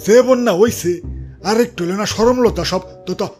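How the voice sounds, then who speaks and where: A man's voice: sits high, brisk, a little mellow, faint music behind, close to an everyday voice. A man voicing a worried, hesitant bystander in a comic cartoon, repeating himself anxiously in a Bangladeshi accent. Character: worried, hesitant male flood-stricken bystander.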